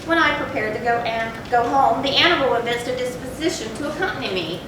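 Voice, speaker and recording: A guilt-tormented gothic narrator played by a woman speaks in a fairly high, theatrical voice. The delivery is deliberate and emphatic, as in a staged horror recitation. It carries from far off through a very echoey, noisy room. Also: natural voice. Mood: reflective. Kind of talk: dramatic readers-theatre recitation of a gothic story. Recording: very echoey stage, distant mic, noisy rumble.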